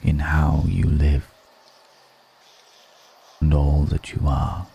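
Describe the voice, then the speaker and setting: A man voicing a seductive, grave vampire lord in an intimate ASMR roleplay, speaking in a hushed, deliberate and solemn manner. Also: extremely low pitch, completely flat delivery, creaky, a little hiss or hum, full character voice.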